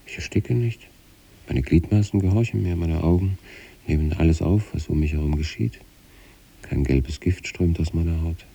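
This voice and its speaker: An actor playing a troubled, detached sanatorium patient who recites letters to his wife in a measured, brooding radio play monologue. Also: sits low, gravelly, dark tone, slightly rough, heavily acted. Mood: uneasy, restrained.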